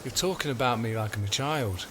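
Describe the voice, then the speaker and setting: A man's voice: mid-range voice, a little fast, background noise, natural voice. A man acting as an uneasy, self-doubting boyfriend in an audio drama, protesting in a hesitant, defensive way.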